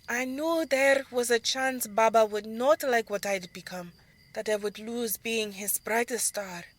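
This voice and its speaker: A woman playing an earnest, wistful young belly dancer recounting her life in a fantasy audio drama, speaking in a measured way. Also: a little high, no room sound, close to an everyday voice. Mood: earnest, defiant.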